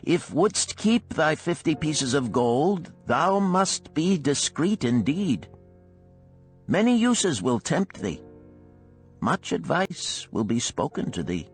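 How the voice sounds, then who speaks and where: A man acts out a wise old moneylender from an ancient storybook. He counsels solemnly and very slowly, his pitch rising and falling widely, with faint music behind. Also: very animated, heavily acted. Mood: solemn, advising. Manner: solemn, deliberate, archaic sermonizing. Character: wise, cautious, older male gold lender of ancient Babylon. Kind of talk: storybook character reading.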